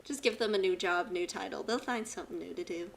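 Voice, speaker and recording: A woman's voice: lowish voice, fast talker. A woman streaming a video game, giving casual live commentary and talking back to the characters. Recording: clearly noisy, game sound behind.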